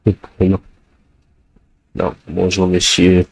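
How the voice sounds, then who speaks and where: Man with the flu, deep and slow, hesitant coding tutor with a dry close mic. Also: monotone, vocal fry, no echo. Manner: hesitant, many fillers, thinks aloud while typing. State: has the flu. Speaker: programming tutor. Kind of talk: screencast coding lesson.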